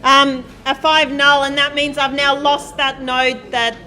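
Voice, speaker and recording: A woman giving a live coding lecture, talking loudly and quizzing the class as she types. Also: sits high, little pitch movement, measured pace. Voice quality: loud voice. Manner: chatty, asks the class questions, thinks aloud while typing. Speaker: university programming lecturer. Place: lecture hall.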